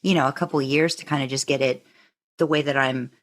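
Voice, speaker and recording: A woman with a low-set voice talks as the host of a casual interview. Her phrasing is informal and meandering, as in an everyday conversation. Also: fairly even intonation, fast. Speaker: podcast host interviewing a guest.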